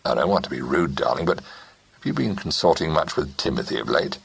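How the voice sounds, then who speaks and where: A man voicing a calm, teasing young Englishman who speaks in a measured, dry way. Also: extremely low pitch, creaky, breathy edge, heavily acted.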